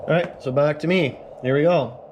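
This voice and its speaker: A man's voice: on the high side, normal speed. A Canadian man casually narrating a ham radio contact, thinking aloud with fillers at his radio station at home. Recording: loud radio noise and sidetone behind.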